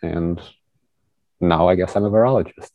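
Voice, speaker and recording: A man's voice: sits low, moderate pace, no echo, phone-quality sound. A young man from Ukraine, a research trainee speaking conversationally as an interview guest, not an English speaker. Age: young adult. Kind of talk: casual conversational interview answer.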